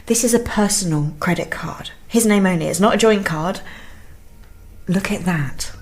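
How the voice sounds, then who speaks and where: A woman playing an anxious, secretive, grieving young wife in a naturalistic radio thriller, hushed and halting as she confides. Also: medium pitch, close to an everyday voice. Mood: agitated, confiding. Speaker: actor in an audio drama.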